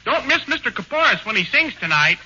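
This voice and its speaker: A man's voice: extremely high pitch, big pitch swings, a little fast. A man doing naive, wheedling banter as a singer and regular on a 1940s radio comedy show. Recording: dull, faintly hissy 1940s radio broadcast.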